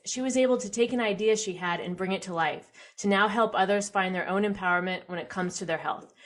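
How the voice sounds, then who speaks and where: A woman hosting a podcast, reading her intro then backing her guest with steady affirmations. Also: mid-range voice. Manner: affirming, frequent mm-hmms. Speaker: podcast host. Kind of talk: scripted intro then casual interview.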